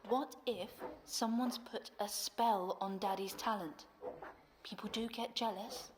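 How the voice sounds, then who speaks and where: A woman's voice: medium pitch, steady tone, measured pace, natural voice. Radio play: insistent, superstitious young daughter, female actor, mid-range voice, distant sound with slight noise. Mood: hushed, insistent.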